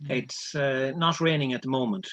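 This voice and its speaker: A man's voice: mid-range voice, moderate pace, fairly bright tone. A man on an online meeting, giving brief practical updates about the meeting. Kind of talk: casual chat. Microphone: video call. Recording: dry, muffled video call audio.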